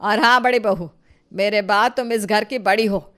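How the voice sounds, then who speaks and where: A woman voicing a domineering, nagging mother-in-law with a sharp, bossy manner in an animated family story. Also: medium pitch, moderate pace, natural voice. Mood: firm, instructing. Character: scolding, scheming, domineering mother-in-law of two daughters-in-law.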